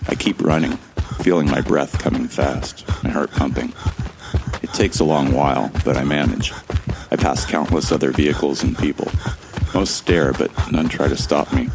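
An actor playing a resigned, weary man who narrates his own doomed flight slowly and gravely in an audio drama. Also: a bass voice, noisy background.

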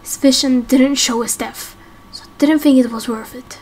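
A woman voicing a grave, fatalistic leader of an underground people in a game roleplay, solemn and measured. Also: sits high, steady tone, darkish tone.

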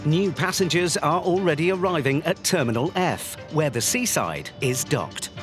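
A man's voice: fairly high pitch, expressive, normal speed. A man delivering scripted narration for a documentary. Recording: music under the voice. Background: background music.

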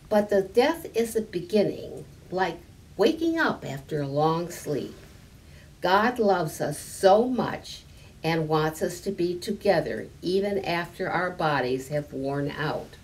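An older woman as a patient, slow-talking old grandfather. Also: on the low side, expressive, a little mellow, recorded from a distance, poor audio. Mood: reassuring. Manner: patient, explaining.

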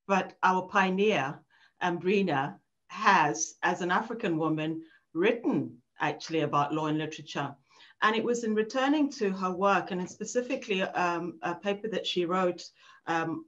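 A woman's voice: lowish voice, fairly bright tone. A middle-aged woman giving a thoughtful academic talk from home on a video call, with frequent ums and restarts. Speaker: author at a book launch. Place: home room. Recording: dull lo-fi video call audio.

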